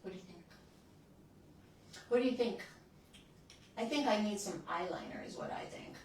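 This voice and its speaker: A woman's voice: medium pitch, moderate pace, distant mic. A woman in her sixties walks through her makeup routine in a loose, chatty way, often breaking off mid-sentence as she works. Her voice sits back from the mic in a strongly echoing room, with a faint hiss underneath. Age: 60s. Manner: chatty, restarts sentences, talks while applying makeup. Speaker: beauty channel host. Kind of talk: casual makeup tutorial.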